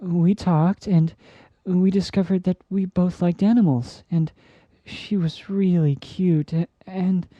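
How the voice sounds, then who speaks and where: A woman voicing a shy, gentle young male pegasus pony who hesitates and stammers in a fan-made audio story. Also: on the low side, fairly even intonation, takes it slowly, few pauses, no echo, highs cut off. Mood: flustered. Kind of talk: fan fiction audio drama.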